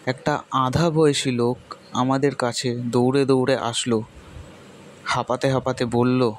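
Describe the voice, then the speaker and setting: A man narrating a ghost story in steady storytelling manner. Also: mid-range voice, a little slow, a little hiss or hum.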